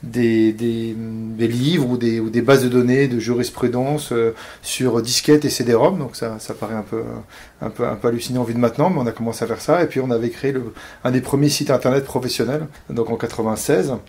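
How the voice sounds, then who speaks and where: A man in his forties from Paris, speaking as an interview guest and pausing often on fillers and restarts. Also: mid-range voice, fairly even intonation, darkish tone. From Paris, France. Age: 40s. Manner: hesitant, many fillers and restarts. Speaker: interview guest, company founder. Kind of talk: casual interview talk.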